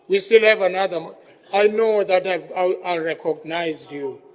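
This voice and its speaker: South African council speaker calling for order. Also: male voice, sits high, fairly even intonation, moderate pace, in a large hall. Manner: repeats himself, calls for order. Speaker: council speaker chairing the meeting. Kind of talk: chairing a council meeting. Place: council chamber.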